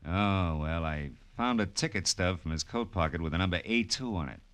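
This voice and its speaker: A man's voice: deep voice, animated, moderate pace, fairly bright tone. A man playing a wry, world-weary American private detective in 1940s radio noir style, narrating in tough slang. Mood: breezy banter. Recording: vintage radio recording with music.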